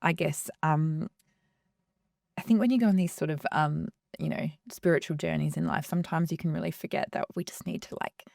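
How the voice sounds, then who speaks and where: Aussie lady perfumer chatting, low gravelly voice, soft and unsure, dry close sound. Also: fairly quick, no echo. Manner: soft, hesitant, many fillers. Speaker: perfumer, guest. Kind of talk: casual interview chat.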